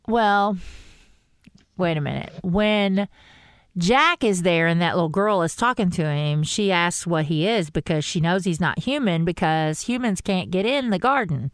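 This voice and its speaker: A woman co-hosts a casual fan podcast, telling stories with lively, rising exclamations. Her voice is smooth and clear, recorded dry and close with no room sound. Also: fairly low pitch, unhurried, no room sound. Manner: chatty, animated storytelling with rising exclamations. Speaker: podcast co-host. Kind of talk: casual fan discussion chat.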